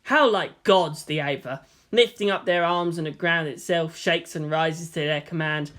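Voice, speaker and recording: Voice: mid-range voice, moderate pace, fairly bright tone. A narrator reading fan fiction aloud as scripted storytelling.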